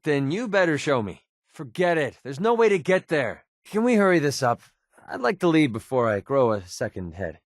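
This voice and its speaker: A young mercenary played by a male actor for a video game, sardonic yet loyal. His voice sits at a medium pitch, with a wry, colloquial delivery. The recording is dry, with no room sound. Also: no room sound, natural voice. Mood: exasperated, sarcastic.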